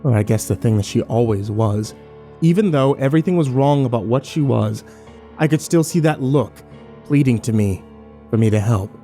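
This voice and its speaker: Man playing bitter male survivor, first-person horror narration, dark mellow tone, brooding, faint music behind. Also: medium pitch, expressive, moderate pace, natural voice. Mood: grim, bitter. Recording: music bed under the voice.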